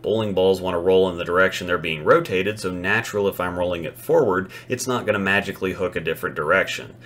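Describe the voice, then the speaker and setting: A man with a low, deep voice narrates an instructional lesson. He stays matter-of-fact and analytical, and he walks through each mistake in a steady scripted voice-over. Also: quick pace, a little hiss or hum. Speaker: bowling instructor.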